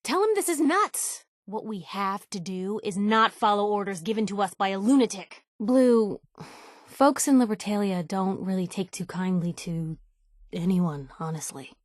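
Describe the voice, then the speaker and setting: A woman's voice: medium pitch, normal speed, no echo, clean studio sound, natural voice. An actress playing a bold, pushy young woman newspaper reporter in a video game, talking in a casual, slangy, sardonic way. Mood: wry, sardonic. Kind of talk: video game character dialogue.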